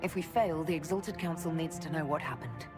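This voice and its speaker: A woman's voice: sits low, a little fast, natural voice. An actress playing a weary but resolute woman leader in a fantasy video game, sober and decisive. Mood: grim, resolute. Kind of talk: dramatic video game acting. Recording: music under the voice.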